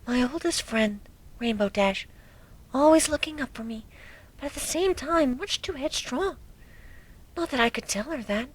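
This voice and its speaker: A woman voicing a timid, gentle young female pegasus pony, telling a story in a soft, hesitant way that can drop to a near whisper. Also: high-pitched, lively intonation, normal speed, long pauses, rough recording. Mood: worried, reflective. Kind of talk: first-person dramatic reading of a fan story.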